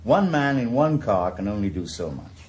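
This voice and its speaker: A man's voice: fairly low pitch, big pitch swings, moderate pace, darkish tone, a little hiss or hum. An actor playing a world-weary, middle-aged former policeman turned private investigator, with a dry, sardonic Welsh voice in a radio drama. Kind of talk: 1980s radio drama acting with first-person narration.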